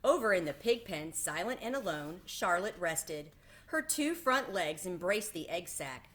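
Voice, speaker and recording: A woman reading a children's story aloud as an expressive narrator. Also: fairly low pitch, measured pace, slight background noise.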